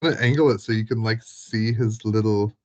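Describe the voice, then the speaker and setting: A man's voice: fairly low pitch, fairly quick. A man chatting casually in a rambling, informal way, leaning on "like" as he talks, on a dull lo-fi recording. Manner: rambling, informal, heavy use of "like". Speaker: hobbyist tabletop game master. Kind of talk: casual chat.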